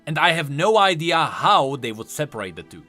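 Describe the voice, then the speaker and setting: A man with a fairly high, forceful voice delivers game lore commentary. His pitch swings in a very animated way as he explains each point emphatically. Faint music plays underneath. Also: moderate pace.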